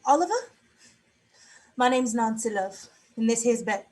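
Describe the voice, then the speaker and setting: A woman's voice: on the high side, moderate pace, darkish tone, faint music behind, lightly acted, poor audio. A woman playing a tough young prostitute in a dystopian London stage play reading, blunt, taunting and streetwise. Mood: sly, teasing.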